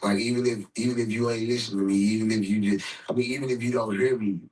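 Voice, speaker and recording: An American man with a slight cold, chatting reflectively in a halting, repetitive, slangy way. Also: on the low side, steady tone, a little mellow, distant mic, rough recording. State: sniffly with a cold. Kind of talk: casual reflective chat.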